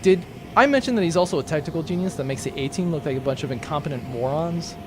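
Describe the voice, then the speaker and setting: A man's voice: a little high, animated, fast, lightly acted. A cheeky captive spaceship crewman, acted by a man who talks in casual wisecracks. It is a comic radio play, with music behind the voice. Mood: deadpan bragging. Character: cheeky, flippant young male captive crewman. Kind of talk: comic sci-fi audio drama acting.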